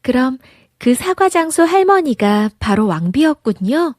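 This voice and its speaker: A woman voicing a sweet, innocent young princess in a storybook, gentle and polite. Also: high-pitched, sing-song, measured pace, dry recording, studio quality, voice pushed up, heavily acted. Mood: realizing. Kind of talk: storybook character voice.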